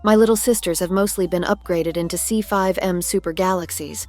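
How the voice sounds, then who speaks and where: A woman voicing a genial, gently teasing middle-aged military cargo plane in genteel, scripted cartoon dialogue. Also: on the low side, steady tone, fairly quick, soft background music, natural voice.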